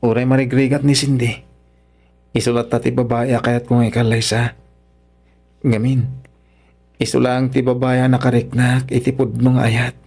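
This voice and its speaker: A man's voice: mid-range voice, level tone, normal speed, vocal fry, pauses often, darkish tone, soft background music. A man playing a humble, earnest young son-in-law of poor means in an Ilocano radio drama, respectful and hesitant, with an Ilocano accent.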